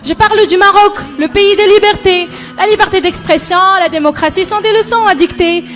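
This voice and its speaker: A woman's voice: extremely high pitch, brisk, a bit bright. A young woman performs spoken-word poetry in an impassioned, emphatic, rhythmic delivery. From Morocco. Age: young adult. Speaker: student poet. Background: background music.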